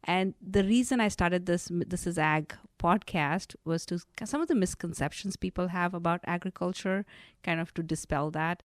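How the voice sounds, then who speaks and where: A woman's voice: lowish voice, moderate pace, no echo, studio quality. A woman hosting an interview podcast, attentive and affirming as she listens and then reflects. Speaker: podcast host.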